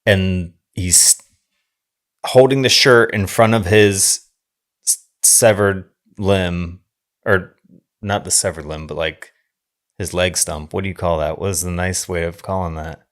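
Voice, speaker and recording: A man's voice: sits low, steady tone, measured pace. A man co-hosting a podcast in casual conversation, often feeling around for the right word. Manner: searches for words, checks terms aloud. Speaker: podcast co-host discussing films. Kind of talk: casual conversational film recap.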